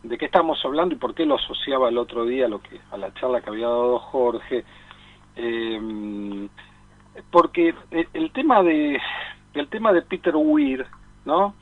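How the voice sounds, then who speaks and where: A thin phone line carries an Argentine man of medium pitch. He speaks as a radio film columnist, reflective and conversational. His phrasing is halting, with repeated words as he works toward his point. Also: lively intonation. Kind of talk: conversational commentary. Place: remote call into a radio studio.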